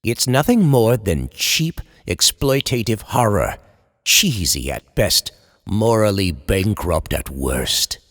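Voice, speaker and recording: A man's voice: on the low side, sing-song, slow, fairly bright tone, exaggerated voice. An actor playing an anguished male horror writer, delivering a theatrical, eloquent confession in old time radio horror style. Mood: bitter, disgusted. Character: anguished horror writer, a man confessing his descent into madness. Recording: long reverberant hall echo.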